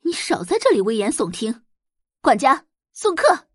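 A woman's voice: high voice, sing-song, normal speed, slightly rough, dry recording, clean studio sound, voice pushed up, heavily acted. A woman voicing an indignant, strong-willed wife and mother in an audio drama.

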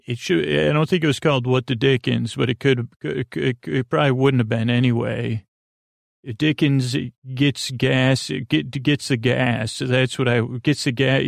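Creaky, meandering male sleep-podcast host. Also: medium pitch, no room sound, clean studio sound. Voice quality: creaky, soothing. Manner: meandering, full of fillers and restarts. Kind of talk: rambling bedtime monologue.

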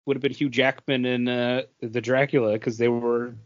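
A man's voice: mid-range voice, flat delivery, normal speed, dry recording, muffled recording. A man co-hosting a podcast in casual chat, rambling through his ideas. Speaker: podcast co-host.

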